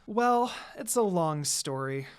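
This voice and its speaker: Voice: medium pitch, expressive, slow pace, clear, resonant tone. A narrator voicing a confident, teasing young ninja woman, smug and playful, in a read-aloud story. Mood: polite.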